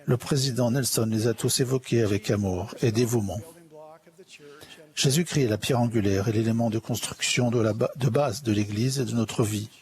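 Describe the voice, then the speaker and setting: A man interpreting a church conference sermon in a measured, solemn, earnest way. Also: mid-range voice, a little mellow. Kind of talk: formal sermon delivered in translation.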